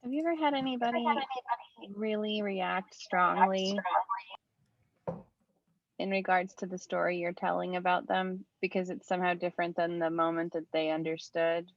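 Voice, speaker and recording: A woman's voice: fairly low pitch, fairly even intonation, moderate pace, no echo. A woman in the audience of an online talk, asking and commenting conversationally over dull-sounding video-call audio. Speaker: audience member asking a question.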